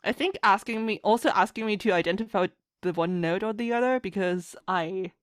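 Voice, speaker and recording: A woman chatting casually as a podcast guest, telling stories in an animated way and acting out quotes. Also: medium pitch, brisk, no echo, clean studio sound. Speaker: podcast guest, fan musician and writer. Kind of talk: casual chat.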